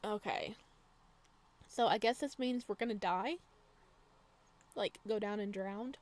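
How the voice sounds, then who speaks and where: A woman doing a video game let's play, reading game text aloud with expressive drama and adding casual asides. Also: medium pitch, measured pace. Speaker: gaming video creator.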